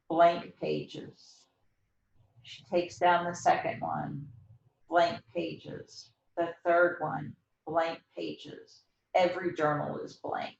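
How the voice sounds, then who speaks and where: A woman talking as a host in a reflective, conversational storytelling style, repeating phrases for deliberate dramatic emphasis. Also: low voice, creaky, roomy sound, muffled recording. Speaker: host introducing and discussing a book.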